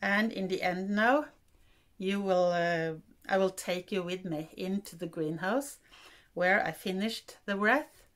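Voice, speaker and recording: An older woman from Norway with a Norwegian accent, chatting to camera in a halting way as she searches for English words, in a wooden room indoors. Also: mid-range voice, a bit bright. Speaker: vlogger. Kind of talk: casual chat to camera.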